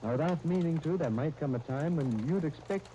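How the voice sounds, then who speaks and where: An actor in a 1930s radio drama playing a captain, an earnest, measured older brother, on a noisy old broadcast disc. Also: male voice, fairly high pitch, lively intonation, dark tone, lightly acted. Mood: earnest, reasoning. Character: British army captain, dutiful reluctant older brother.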